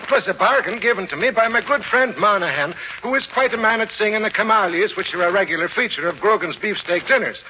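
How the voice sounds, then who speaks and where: An actor with an Irish accent playing a wily, genial uncle in a 1940s radio comedy, florid and long-winded with mock piety. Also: male voice, sits high, lively intonation, fast talker, few pauses, pushed and bright. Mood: sly, apologetic. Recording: muffled, noisy vintage radio recording.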